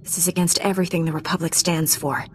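Woman playing a calm, resolute heroic knight. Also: fairly low pitch, quick pace, a little hiss or hum, natural voice. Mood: earnest, serious. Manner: calm, firm, earnest. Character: resolute, earnest female Jedi knight hero. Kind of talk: video game cutscene acting.

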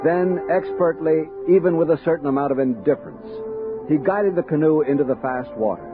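Actor as commanding expedition captain, lively pitch. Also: male voice, brisk, natural voice. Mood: admiring narration. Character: commanding, thoughtful American army captain leading an expedition. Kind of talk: 1960s radio drama narration and dialogue. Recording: dull vintage radio recording with music.

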